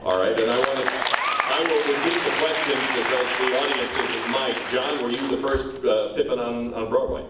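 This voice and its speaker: A man's voice: mid-range voice, moderate pace. A man moderating a question session with an audience in a theater. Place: theater, before a live audience. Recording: roomy hall, distant mic, faint crowd noise.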